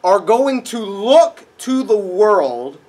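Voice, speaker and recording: A young man preaching a sermon in a dark auditorium, a little nasal from a cold, animated and acting out voices in his stories. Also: high voice, takes it slowly. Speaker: pastor. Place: dark auditorium with projected screen.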